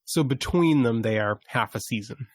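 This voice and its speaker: A man's voice: mid-range voice, lively intonation, normal speed, dry recording. A man chatting casually as a co-host on a podcast. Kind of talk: casual chat.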